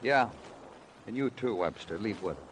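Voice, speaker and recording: An actor in an old-time radio crime drama playing a calm, matter-of-fact detective, terse and dry in clipped phrases. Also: male voice, on the low side, moderate pace, heavy background noise, lightly acted. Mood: cool questioning. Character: calm, matter-of-fact male police detective narrating his case. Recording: vintage radio broadcast recording.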